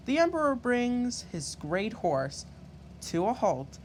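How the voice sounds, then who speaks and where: Give me a man reading a storybook slowly and expressively, with faint rumbly noise behind him. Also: sing-song. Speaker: storybook reader. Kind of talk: expressive read-aloud of a children's story.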